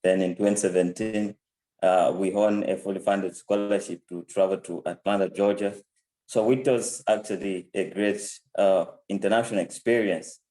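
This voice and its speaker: A man's voice: low-pitched, fairly even intonation, moderate pace, dark tone, poor audio. A man in his twenties with a Zambian accent, giving an informal testimonial as a program alumnus. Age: 20s. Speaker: program alumnus and country director.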